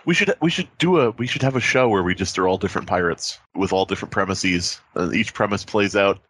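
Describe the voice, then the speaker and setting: A man's voice: deep voice, a little fast, fairly bright tone, slightly rough. A man co-hosting a podcast in casual improvised comic banter, silly and riffing. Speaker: podcast co-host.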